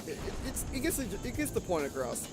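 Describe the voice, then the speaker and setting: A man giving casual gameplay commentary, halting and often stumbling over and repeating his phrases. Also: sits high, big pitch swings, over music. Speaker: gaming video host. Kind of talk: casual gameplay commentary with read-aloud dialogue.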